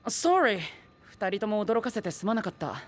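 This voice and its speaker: Princely youth, dignified, formal, very varied intonation, polite, anime audio drama. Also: male voice, high voice, big pitch swings, normal speed, soft background music. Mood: courteous, assured. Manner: stiff gentlemanly speech sprinkled with English words.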